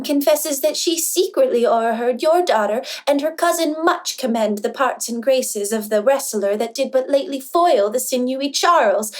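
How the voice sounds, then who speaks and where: An actress plays a young noblewoman in a Shakespeare radio play. Her voice is clearly high. The delivery is formal and measured, suited to life at court. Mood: reporting.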